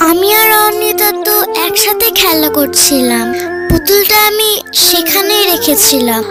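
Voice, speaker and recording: A little cartoon fox girl with a very high, thin childlike voice. She speaks earnestly and pleadingly. Loud children's music plays behind her. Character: earnest young girl fox cub, sad and eager.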